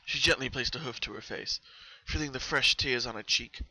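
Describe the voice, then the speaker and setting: A man's voice: mid-range voice, fairly quick, pushed and bright, no room sound, rough recording. A man narrating a horror story as a solemn, dramatic reading.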